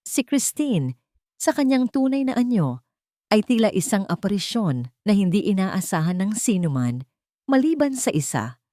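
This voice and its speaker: A woman's voice: mid-range voice, big pitch swings, normal speed, warm tone, no echo, studio quality. A woman with a Filipino accent reading a scripted, dramatic romance story as a storyteller.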